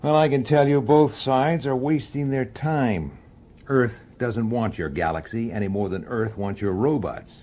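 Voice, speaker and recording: An actor playing a sceptical, hot-headed police detective in a radio drama, blunt and argumentative. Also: male voice, mid-range voice, measured pace, warm tone, lightly acted.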